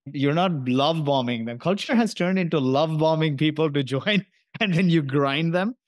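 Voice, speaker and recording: A man hosting a podcast interview, affirming his guest warmly and building up long, enthusiastic questions. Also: on the high side, normal speed, dry recording, clean studio sound. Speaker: podcast host.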